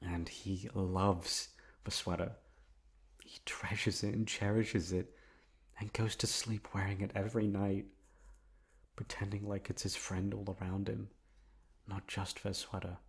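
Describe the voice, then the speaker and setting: A man playing an obsessive, lovesick young man in an intimate ASMR roleplay, coaxing softly with drawn-out words and emphatic repetition. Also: deep voice, level tone. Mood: hushed, yearning. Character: obsessive lovesick young man confessing to his best friend.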